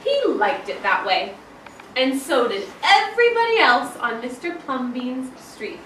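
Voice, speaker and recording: A woman primary school teacher reading a picture book aloud to a young class with expressive, emphatic storytelling. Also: high voice, unhurried. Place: indoor classroom, children present. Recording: echoey classroom from far off, some noise.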